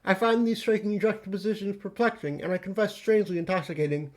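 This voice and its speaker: A man's voice: high-pitched, fairly even intonation, fast talker, lightly acted. Man putting on a pompous, stilted aristocratic troll. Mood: pompous, troubled. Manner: formal, pompous, curt diction. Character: haughty, stilted, easily angered aristocratic male troll.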